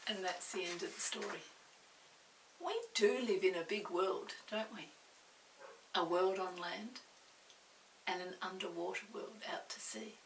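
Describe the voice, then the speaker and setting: An older woman reading a story aloud to young children, expressive, pausing to explain and ask the listener questions. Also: mid-range voice. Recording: roomy, distant mic with faint hiss.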